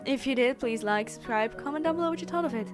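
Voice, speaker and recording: A woman's voice: high voice, animated, fast talker, over music. A woman ASMR creator giving a casual outro straight to her viewers.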